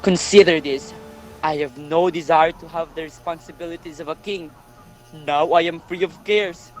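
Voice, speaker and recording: A man's voice: fairly high pitch, steady tone, moderate pace, close to an everyday voice. A man playing a reasonable but indignant nobleman in a readers' theatre tragedy, earnest and formal. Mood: reasoning. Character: reasonable, indignant royal brother-in-law, wrongly accused nobleman.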